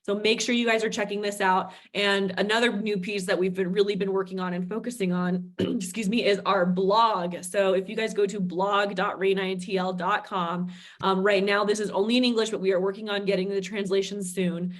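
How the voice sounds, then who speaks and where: Woman with a head cold, slightly raspy. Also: mid-range voice, steady tone, brisk. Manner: chatty, encouraging. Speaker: vice president of marketing. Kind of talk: presenting on a company call.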